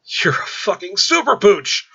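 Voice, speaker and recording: Man putting on a raised, husky wisecracking guy. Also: high-pitched, big pitch swings, measured pace, bright, forceful tone, lo-fi sound, voice pushed up, full character voice. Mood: amazed.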